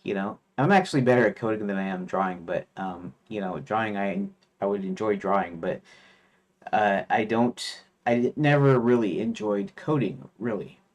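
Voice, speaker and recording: A man chatting casually while streaming his game development, rambling with lots of fillers and restarts, sometimes reading lists aloud in a flat mutter. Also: on the low side, moderate pace.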